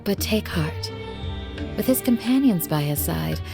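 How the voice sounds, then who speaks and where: A solemn young guardian woman in a video game, slightly low in pitch. She speaks formally and earnestly over clear background music. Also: animated, measured pace. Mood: solemn, reassuring. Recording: game music behind dialogue.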